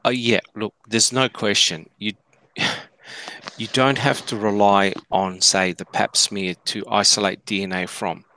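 Guy on a live panel, deep-ish sharp voice, deliberate and emphatic answers. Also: flat delivery. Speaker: panelist answering listener questions. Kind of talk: explanatory live discussion.

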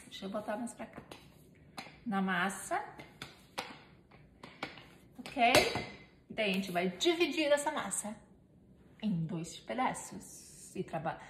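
Chatty woman cooking in an echoey kitchen. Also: mid-range voice. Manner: chatty and playful, talks to viewers and her helper while kneading. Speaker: home cooking vlogger. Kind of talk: casual cooking demonstration. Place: home kitchen, indoors. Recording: echoey kitchen with clear background noise.

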